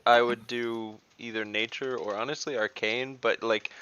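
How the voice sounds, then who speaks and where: A man's voice: medium pitch, steady tone, normal speed, pushed and bright, clear, resonant tone, low-quality recording. A man playing a tabletop game over an online call, talking casually about rules and tactics.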